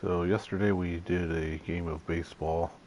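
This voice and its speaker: A man casually commenting on his gameplay, slightly nasal from allergies, halting with lots of fillers. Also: steady tone, a little mellow. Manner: halting, many fillers, unhurried and low-key. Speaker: gaming video creator. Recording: audible background noise.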